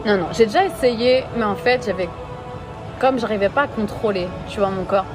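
Female podcast guest, accent from France, mid-pitched, casual, playful, emphatic repetitions, music behind. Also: normal speed. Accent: French from France. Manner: playful, giggly, expressive, repeats words for emphasis. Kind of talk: casual chat.

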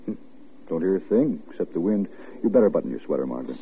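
A man's voice: sits low, big pitch swings, quick pace, a little hiss or hum. An actor playing an easygoing, indulgent but dismissive family father in a folksy, paternal way, in vintage radio style. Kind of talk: 1940s radio acting. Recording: muffled, tinny old radio recording.

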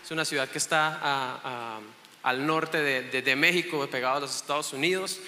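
A man's voice: mid-range voice, moderate pace, sharp tone, a little hiss or hum. A man in his thirties from Chihuahua, Mexico, with a northern Mexican accent, preaching a casual sermon in a church and often restarting his sentences. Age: 30s. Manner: chatty and self-joking, with many restarts. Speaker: guest preacher. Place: church hall. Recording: echoey hall with long reverb.

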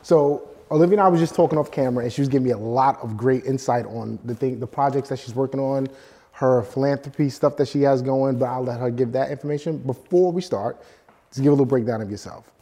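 A man hosting his podcast, a realtor by trade, who chats in an upbeat way and keeps up a steady stream of encouraging replies. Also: medium pitch, fast, big-room sound. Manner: upbeat, chatty, eager affirmations.

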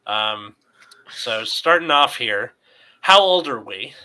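A man's voice: medium pitch, expressive, takes it slowly, sharp tone, low-quality recording. A man in his late twenties hosting a podcast in a loose, rambling chat full of fillers. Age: 20s. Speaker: podcast host. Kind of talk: casual chat.

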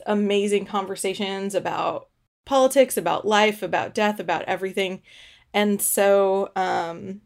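A woman hosting a podcast, chatting easily and laughing often during an interview over a video call. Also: mid-range voice. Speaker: podcast host.

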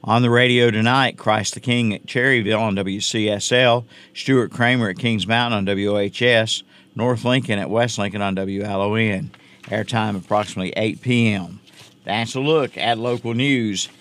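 Low-pitched man reading local news. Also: steady tone, moderate pace, fairly bright tone. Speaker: local radio news reporter.

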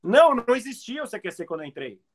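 A man with a notably high voice speaks as a guest in a casual interview. He tells anecdotes in an animated, conversational way, as a comedian would among peers. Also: fast. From outside Brazil.

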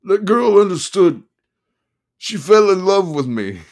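Man putting on a manic lawyer, shouty, snarling, high and loud, lively pitch. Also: a little slow, full character voice. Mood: manic shouting. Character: wild, volatile man, an unhinged lawyer.

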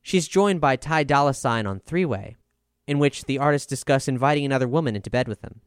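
Smooth male reviewer reading a script. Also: mid-range voice, fairly quick, no echo, clean studio sound. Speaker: music reviewer.

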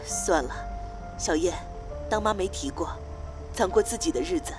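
Drama role: hesitant, resigned middle-aged mother female actor, low register, soft-spoken, music underneath. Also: animated, normal speed, close to an everyday voice. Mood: hesitant concern. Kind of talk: Chinese audio drama acting.

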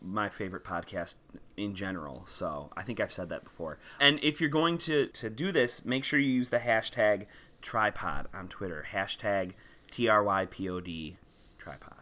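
A man's voice: fairly low pitch, normal speed, bright, forceful tone. A man co-hosting a film podcast, chatting casually and playfully. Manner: playful, breaks into goofy old-timey voices. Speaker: podcast co-host.

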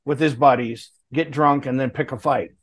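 A man's voice: medium pitch, steady tone, moderate pace, dry recording. A man in his late fifties, a guest talking candidly and plainly in a casual interview. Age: 50s. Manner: candid, plainspoken, laughs between hard stories.

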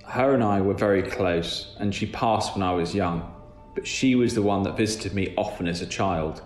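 A man's voice: lowish voice, moderate pace, soft background music. A man reading a scripted first-person story as a narrator, in a roomy recording with faint noise. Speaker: reader of listener stories.

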